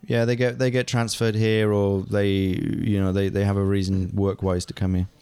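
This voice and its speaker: Chatty, fairly low-voiced man. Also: flat delivery, moderate pace, mellow, clean tone. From England. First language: German. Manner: chatty, hesitant, many fillers and restarts. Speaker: guest, actor. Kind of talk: casual conversation.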